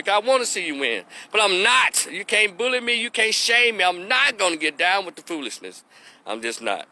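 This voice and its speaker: A man's voice: sits high, bright, forceful tone. A man, hosting a casual opinion monologue and preaching his points with emphatic repetition. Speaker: commentator hosting a live opinion stream.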